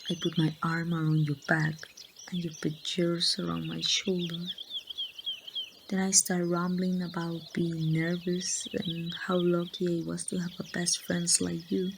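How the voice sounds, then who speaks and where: Woman acting as grieving young woman, wistful ASMR roleplay, very slow halting delivery, lowish pitch, birdsong and noise behind. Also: creaky. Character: grieving young woman confiding in lost best friend. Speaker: amateur voice actor.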